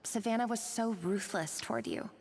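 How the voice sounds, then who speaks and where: An actress playing a sympathetic, modest female cook in an anime-style game, gentle and conversational. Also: a little high, moderate pace, gravelly, a little hiss or hum. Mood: apologetic, modest.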